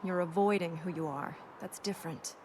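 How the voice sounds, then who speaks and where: An actress playing a serious, determined young princess in a video game, earnest and dryly sharp-tongued. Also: female voice, fairly low pitch, moderate pace, background noise, natural voice. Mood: stern, dry. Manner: earnest, dry, blunt.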